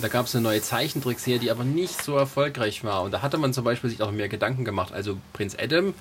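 A man with a mid-pitched voice talks casually as a podcast co-host. He reminisces loosely, restarting and correcting himself along the way. Some background noise sits behind his voice. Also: fast talker, a bit bright. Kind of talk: casual nostalgic podcast chat.

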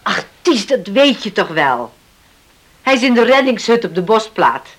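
A woman's voice: medium pitch, sing-song, a little slow, a bit bright. A woman playing a resolute farmer in a Dutch radio play, earnest and coaxing as a worried parent. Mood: pleading.

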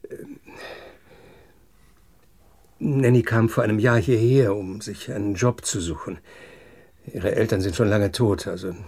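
An actor playing a nervous, apologetic older uncle in a German radio drama, husky and hesitant, stammering defensively. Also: male voice, lowish voice, a little slow, long pauses, darkish tone. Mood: apologetic, explaining.

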